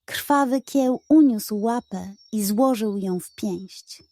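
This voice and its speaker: A woman tells a children's bedtime tale at a slow, careful pace. Her voice is mellow and fairly high, recorded very dry and close. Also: dry recording. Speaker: storyteller. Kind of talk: scripted bedtime story narration for children.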